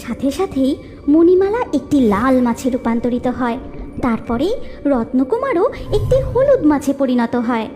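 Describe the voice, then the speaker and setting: Female cartoon narrator, high and lively, sing-song. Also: normal speed. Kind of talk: storytelling narration. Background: background music.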